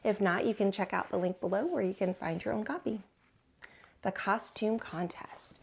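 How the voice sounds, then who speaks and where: A woman hosting storytime, reading aloud to young children with an expressive, teacherly delivery. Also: mid-range voice, normal speed, gravelly, breathy edge.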